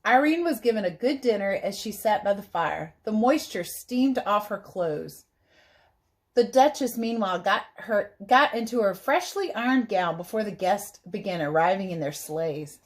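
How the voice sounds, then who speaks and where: A woman teacher reading a storybook aloud to children in an expressive, animated way, pausing to explain things in simple asides. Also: mid-range voice.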